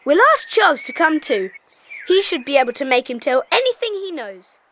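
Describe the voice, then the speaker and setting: An actress playing a bossy, eager schoolchild detective with a brisk, insistent manner in a children's audio drama. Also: female voice, high-pitched, sing-song, a bit bright, exaggerated voice. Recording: hissy old cassette tape.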